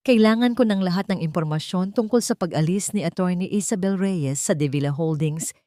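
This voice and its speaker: A woman's voice: on the low side, brisk, dark tone, no echo, clean studio sound, natural voice. A woman with a Filipino accent voicing a serious, urgent billionaire businessman in a dramatic audio story. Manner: serious, weighty, pressing.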